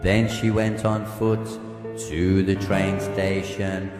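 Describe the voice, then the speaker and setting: A man's voice: low-pitched, level tone, slow, big-room sound, over music. A man voicing a self-pitying, lovelorn male lounge singer with a droopy croon.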